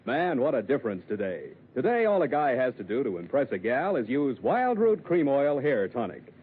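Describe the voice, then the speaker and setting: A man's voice: medium pitch, big pitch swings, moderate pace, a little hiss or hum. A man with an American accent working as a 1940s radio announcer, emphatic and salesmanlike. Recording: tinny old radio broadcast. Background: music.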